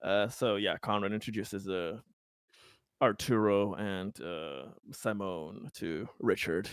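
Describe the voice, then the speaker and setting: A man playing a tabletop roleplaying game, improvising casual in-character talk in a halting, stammering, wordy way. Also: fairly low pitch, clear, resonant tone, no room sound, clean studio sound.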